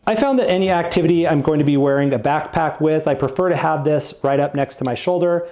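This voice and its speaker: A man's voice: a little high, fast talker, a little mellow. A man giving a casual product review, explaining things in a plain-spoken, non-technical way.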